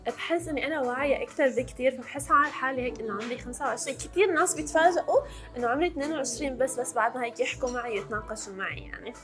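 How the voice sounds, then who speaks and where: A woman's voice: sits high, lively intonation, very fast, music in the background. A woman in her early twenties with a Levantine Arabic accent, chatting casually to camera as a vlog host and slipping in English words. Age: early 20s.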